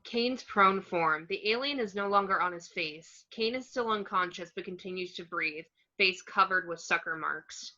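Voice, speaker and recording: Lowish, bright-edged woman narrating a script. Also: normal speed, few pauses. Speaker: narrator of action descriptions. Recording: dull, lo-fi home recording.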